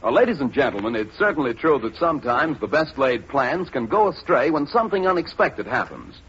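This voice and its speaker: A man's voice: medium pitch, sing-song, brisk, a bit bright. A man reading a scripted 1940s radio advertisement as an announcer, polished and emphatic. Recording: muffled vintage radio, faint noise.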